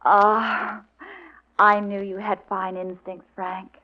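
An actress playing a wry, coquettish young American assistant with an arch, playful manner, in a 1940s radio drama. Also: female voice, medium pitch, flat delivery, slow pace, lightly acted. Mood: coy, wheedling. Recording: muffled vintage radio recording.

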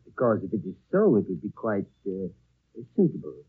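An actor playing a courteous, discreet elderly lama with formal, measured speech, in 1930s radio drama style. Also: male voice, on the low side, sing-song, slightly rough. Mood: courtly, measured. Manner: formal, measured, politely evasive. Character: courteous, discreet lama aged over a hundred, looking younger. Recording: thin, narrow old 1930s radio recording.

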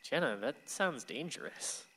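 A man playing a gentle, lovestruck sixteen-year-old boy in a radio play, earnest and tender in his delivery. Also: mid-range voice, very animated, a little slow, slightly rough, exaggerated voice. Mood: worried, pleading. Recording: long reverberant hall sound.

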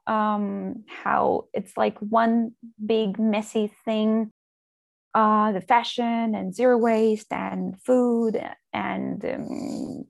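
This is a woman from Russia, speaking English as a guest in an interview. She talks in a relaxed, easy way, with fillers and light laughs. Her voice is gravelly with vocal fry, and the recording is dry and close. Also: medium pitch, very slow, no room sound. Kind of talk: casual interview chat.

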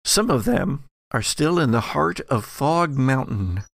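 A man narrating a tabletop horror game as game master, setting scenes theatrically with deliberate pauses. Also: medium pitch, very animated, gravelly, no room sound, studio quality. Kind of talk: narrating an actual-play horror roleplaying game.

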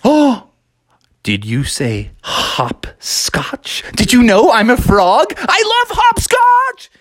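Woman voicing an excitable little boy frog, swooping pitch. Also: normal speed. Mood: eager, playful. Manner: exclaiming, eager, questioning. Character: excitable, mischievous, playful young male cartoonish frog.

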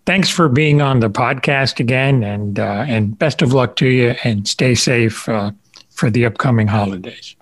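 A man's voice: mid-range voice, normal speed. A man hosting a podcast interview in an informal, affable and encouraging conversational way. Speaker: podcast host.

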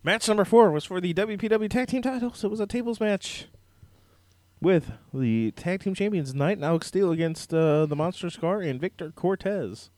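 A man hosting a casual podcast with his friends, crude and joking, a little hoarse. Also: high voice, lively intonation, normal speed, a little mellow, dry recording. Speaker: podcast host.

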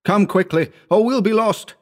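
A man's voice: on the high side, big pitch swings, a little fast, voice pushed up. A man voicing an urgent, frightened man who takes desperate command in a dramatic horror audiobook. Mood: frantic warning. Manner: insistent, breathlessly urgent exclamations.

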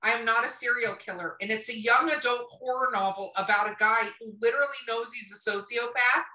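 A woman's voice: on the high side, fairly even intonation, brisk, pushed and bright. A woman of around fifty chatting casually about books, opinionated and rambling, swearing freely. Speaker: podcast co-host. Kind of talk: casual book chat. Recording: roomy, echoing sound.

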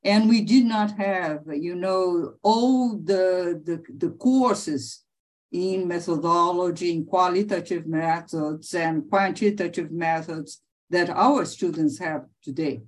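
Slow, halting middle-aged woman professor. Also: fairly low pitch. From Brazil. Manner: halting, many restarts and fillers, laughs often. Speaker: interviewee, professor. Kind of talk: conversational interview.

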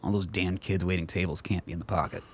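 Radio drama: male actor as stiff, smoldering old dad, deep and husky, grumbling manner. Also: steady tone, fast talker. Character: stiff, smoldering old father, wary and cantankerous. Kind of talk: radio play acting.

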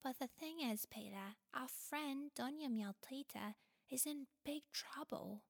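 A woman whispering as a soft-spoken female bird. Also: high voice, expressive, measured pace, no room sound, studio quality, voice pushed up, exaggerated voice. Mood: hushed. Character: gentle, thoughtful female bird.

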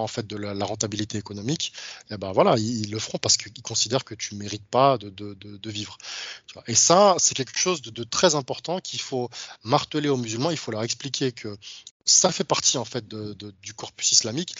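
A man's voice: medium pitch, a bit bright, slightly rough, no room sound, lo-fi sound. A man speaking as an interview guest in casual, argumentative conversation, often stumbling and restarting his phrases. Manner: argumentative, frequent stammered restarts; informal, addresses interviewer familiarly.

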